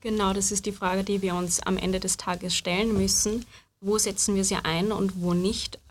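A woman presenting research findings in a measured, explanatory discussion. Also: medium pitch, slight background noise. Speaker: student researcher.

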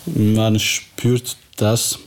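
A man from Kosovo with an Albanian accent, a native Albanian speaker, giving thoughtful interview answers and pausing now and then to find his words. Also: fairly low pitch, little pitch movement. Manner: thoughtful, searching for words, halting.

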